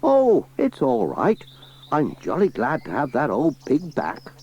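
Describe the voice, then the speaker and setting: Man doing a high, emphatic village farmer. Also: huge pitch swings, unhurried, darkish tone. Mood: relieved. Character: blunt, good-natured village farmer. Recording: muffled, hissy cassette transfer.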